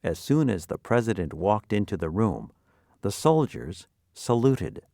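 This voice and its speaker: A man's voice: fairly low pitch, sing-song, measured pace, creaky, mellow, dry recording, clean studio sound. A man narrating a scripted vocabulary lesson, reading out definitions and example sentences.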